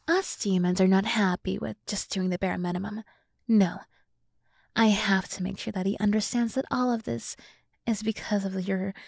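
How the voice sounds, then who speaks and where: A woman voicing a seductive, scheming demon woman in an ASMR roleplay, often dropping to a breathy whisper as she slowly coaxes and condescends. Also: medium pitch, a little mellow, no echo, clean studio sound. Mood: cool, vengeful. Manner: slow, teasing, condescending toward humans. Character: seductive, scheming demon woman, coolly menacing yet coaxing. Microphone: close microphone, dry sound.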